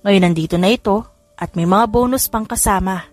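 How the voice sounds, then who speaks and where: A woman's voice: mid-range voice, a little fast, a little mellow. A woman with a Filipino accent reading a scripted story as an audiobook narrator, acting out the dialogue. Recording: music under the voice. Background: background music.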